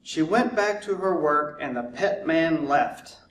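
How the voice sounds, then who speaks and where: Male storybook reader, unhurried and deliberate, slightly high voice, recorded in an echoey room. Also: animated, fairly bright tone. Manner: deliberate, drawn-out repetition for effect. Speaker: fire chief reading to children. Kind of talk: children's storybook narration. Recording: roomy echo, distant mic, faint noise.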